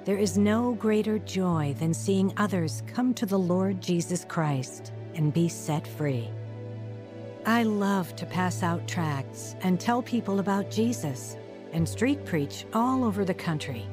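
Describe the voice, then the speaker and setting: A devout older woman who preaches on the streets. Her voice sits fairly low and she tells her life in earnest radio drama narration. Music plays clearly behind her. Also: animated, measured pace. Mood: earnest, resolute. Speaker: narrating lead actress. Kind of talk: radio drama first-person testimony narration.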